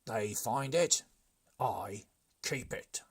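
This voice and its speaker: A man voicing a menacing, greedy brigand chief in a storybook, with a curt, domineering manner and a husky voice. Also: mid-range voice, expressive, very slow, exaggerated voice. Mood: bossy. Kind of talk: storybook character voice.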